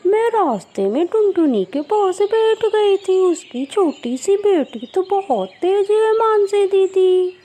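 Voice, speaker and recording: Woman acting female cartoon crow auntie, high-pitched, chatty, gushing, sing-song, sly. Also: very animated, takes it slowly, hardly any pauses, warm tone, exaggerated voice. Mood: gossipy. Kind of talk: cartoon story character acting.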